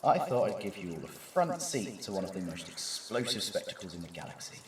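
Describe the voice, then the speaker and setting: A man's voice: deep voice, very animated, a little fast. A man playing an arrogant, greedy villain in an audio drama, sneering and boastful. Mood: smug, menacing. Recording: roomy, distant, with music behind.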